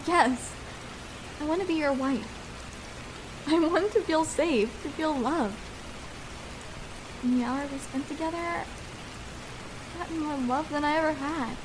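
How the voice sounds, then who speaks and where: A woman's voice: sits high, measured pace, pauses often, noisy background. A young woman playing a shy, vulnerable escort in an intimate ASMR roleplay, speaking hesitantly and tenderly with small stammers.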